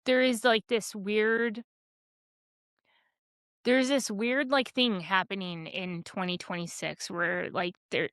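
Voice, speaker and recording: A woman's voice: mid-range voice, fairly even intonation, slow pace, a bit bright, clear, resonant tone, no echo, studio quality. A woman co-hosting a podcast, ranting in a fired-up, rambling way with many restarts. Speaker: podcast co-host. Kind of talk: candid casual chat.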